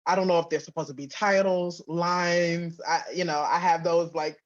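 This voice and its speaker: A woman's voice: lowish voice, steady tone, normal speed. Slightly muffled woman chatting as interview guest. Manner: informal, thinking aloud with repeated phrases. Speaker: interview guest, audio drama writer. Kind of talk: casual chat. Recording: slightly dull, lo-fi audio.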